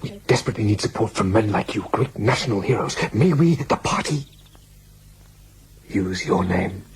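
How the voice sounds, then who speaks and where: An actor playing a fervent, intense German political demagogue in a radio drama, speaking formally with deliberate emphasis. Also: male voice, lowish voice, animated, creaky, noisy background. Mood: urgent, determined.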